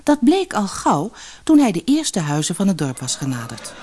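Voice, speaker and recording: A woman reading a fairy tale aloud as an expressive scripted storyteller. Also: mid-range voice, moderate pace, slight background noise.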